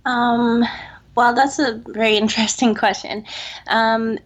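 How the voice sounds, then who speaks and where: A woman from Zambia, a guest and public health student, speaking softly and unhurriedly in a relaxed interview. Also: a little high. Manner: soft-spoken, unhurried, with light laughs.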